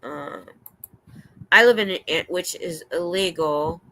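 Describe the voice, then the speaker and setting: An older American woman hosting a livestream, chatting in a rambling, excitable way. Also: on the low side, a little slow, creaky, slight background noise, rough recording. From United States. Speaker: livestream host. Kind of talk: casual chat. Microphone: streaming setup.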